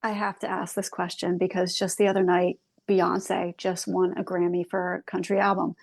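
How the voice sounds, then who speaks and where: A woman hosting a podcast interview, asking friendly questions and giving quick affirmations. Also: fairly low pitch, level tone, gravelly, a little mellow. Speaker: podcast host. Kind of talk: casual interview chat.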